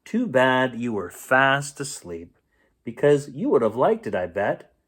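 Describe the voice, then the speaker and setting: A man reading a children's storybook aloud in an expressive, playful storytelling manner. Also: mid-range voice, a little slow. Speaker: bedtime story reader.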